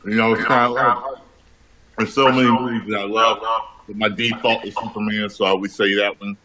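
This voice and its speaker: A man's voice: on the low side, little pitch movement, a little fast, background noise. A man hosting a casual chat, brash and teasing, with loose swearing. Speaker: host.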